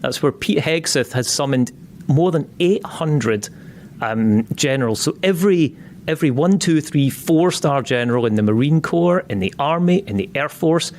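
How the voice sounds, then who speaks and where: A man's voice: fairly high pitch, expressive, normal speed, darkish tone. Chatty Scottish man hosting. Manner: chatty, joking, some repeated starts. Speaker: podcast host. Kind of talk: conversational interview hosting.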